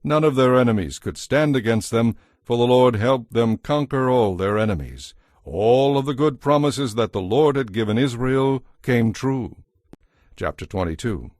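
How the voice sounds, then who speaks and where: Measured male narrator, very dry sound. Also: medium pitch, darkish tone, dry recording. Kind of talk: scripted audio Bible narration.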